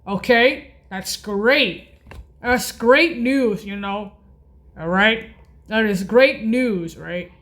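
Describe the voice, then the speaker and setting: A woman giving an informal music tutorial in a halting, repetitive way, correcting herself as she goes. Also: medium pitch, fairly bright tone. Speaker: music practice video host. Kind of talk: informal spoken tutorial naming keyboard voice effects.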